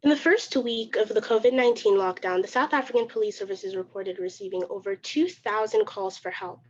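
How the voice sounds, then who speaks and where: Young woman reading slides, voice a bit high, muffled lo-fi call audio, some restarts. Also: expressive, fairly quick, a little mellow. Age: young adult. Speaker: youth ambassador presenter. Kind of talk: reading a prepared presentation. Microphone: video call.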